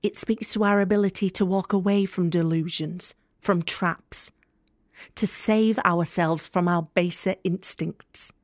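An actress playing a young student, guarded, sardonic and candid, in an intimate monologue for an audio play. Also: female voice, on the low side, steady tone, unhurried, no echo, clean studio sound, natural voice. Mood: defiant, hopeful. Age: young adult. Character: guarded, sharp-tongued young female student, hurt but hopeful.

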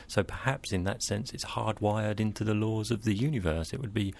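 A man as a scientist guest, explaining carefully and in a measured way in an interview. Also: fairly low pitch, creaky, a little mellow.

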